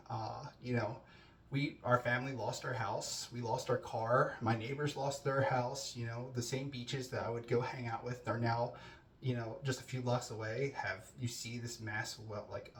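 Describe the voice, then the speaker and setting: Thirties man, relaxed chatty self-intro, faint room hiss. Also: medium pitch. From New Jersey, US. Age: 30s. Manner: conversational with frequent fillers and restarts. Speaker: student introducing himself. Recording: roomy, distant mic with faint ambience.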